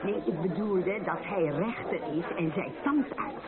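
A woman's voice: fairly low pitch, unhurried, natural voice. An actress playing a sociable, proud middle-aged mother of the bride in a radio play. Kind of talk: Dutch radio drama acting. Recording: tinny, band-limited radio recording, busy crowd.

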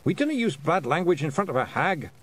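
Storybook pictsy chieftain played by a male reader: tiny, bold, Scottish, blunt, pitched up and very animated. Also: measured pace. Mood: firm. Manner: blunt, emphatic dialect speech.

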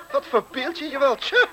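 An actor playing a vain, self-important fashion designer in a 1970s radio play, pompous and easily indignant. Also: male voice, extremely high pitch, sing-song, measured pace, full character voice, poor audio. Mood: scornful.

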